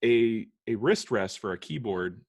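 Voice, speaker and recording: A man's voice: on the low side, lively intonation, unhurried, vocal fry, darkish tone, no room sound, clean studio sound. A man co-hosting a casual podcast, rambling and chuckling between phrases, wired on coffee. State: hopped up on coffee. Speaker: podcast co-host. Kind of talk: casual chat.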